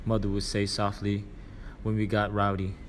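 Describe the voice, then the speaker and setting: Low-pitched man reading a story, noisy recording. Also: monotone, normal speed. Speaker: reader. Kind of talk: scripted storybook narration, read aloud.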